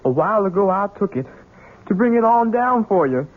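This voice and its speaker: An anguished simple servant. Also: male voice, high voice, expressive. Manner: halting, anguished. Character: simple-hearted servant son, gentle, desperate. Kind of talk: 1970s radio drama acting. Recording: phone-like narrow old radio broadcast.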